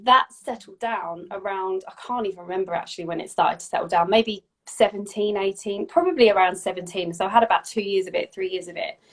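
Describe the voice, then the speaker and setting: A woman's voice: medium pitch, a little fast, few pauses. Woman, 40s, health practitioner; casual, explanatory, stop-start delivery. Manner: explanatory, frequent restarts and repeats. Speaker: functional medicine practitioner. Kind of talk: casual expert chat.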